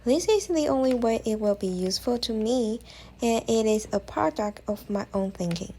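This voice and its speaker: A woman's voice: a little high, expressive, moderate pace, mellow, a little hiss or hum. Woman with a Chinese first language, careful tutorial voice. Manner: careful, slightly non-native English phrasing. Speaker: language-learning vlogger. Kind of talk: scripted voice-over tutorial.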